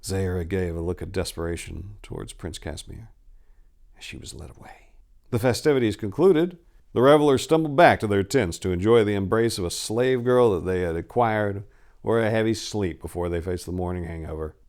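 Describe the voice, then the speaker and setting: A man's voice: sits low, brisk, darkish tone. A man narrating a story as host, in a dramatic, theatrical and emphatic storytelling style.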